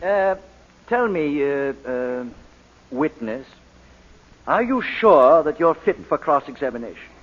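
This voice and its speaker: An actor playing a sardonic British barrister, probing and sarcastic in cross-examination, in a 1940s radio drama. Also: male voice, a little high, big pitch swings, slow, pauses often, full character voice. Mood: sly, probing. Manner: probing, sarcastic, hesitating for effect. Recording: dull, lo-fi 1940s radio recording.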